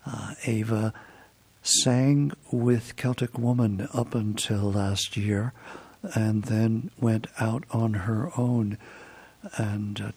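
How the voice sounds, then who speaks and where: Slow, gravelly male radio host. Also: medium pitch, a little mellow, no room sound. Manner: halting, many filler pauses. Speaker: radio music show host. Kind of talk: unscripted radio presenting.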